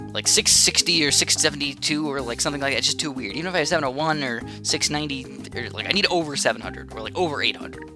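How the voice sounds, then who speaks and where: A man chatters fast through a strategy match, rambling from thought to thought with plenty of fillers and restarts. His voice sits at an ordinary middle pitch. Game music plays clearly underneath him. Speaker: gaming channel host. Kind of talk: casual live gameplay commentary.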